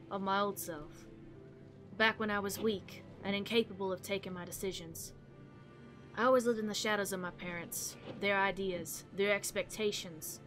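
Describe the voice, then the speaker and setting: A woman voicing a wistful, introspective young female taxi passenger in a visual novel, speaking softly and reflectively. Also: medium pitch, lightly acted. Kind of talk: visual novel read-aloud.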